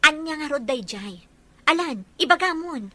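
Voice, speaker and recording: A woman's voice: high voice, expressive, normal speed, pushed and bright. A woman playing an earnest, caring young fiancée in an audio drama. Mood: hurt, insistent. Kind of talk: Ilocano audio drama acting.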